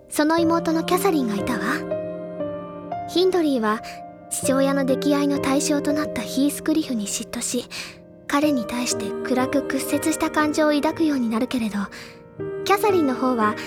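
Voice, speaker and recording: A woman's voice: high-pitched, lightly acted. An actress playing an earnest, bookish senior schoolgirl in an audio drama, speaking articulately and dramatically like a storyteller. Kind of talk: Japanese audio drama acting, literary storytelling. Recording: loud background music under voice.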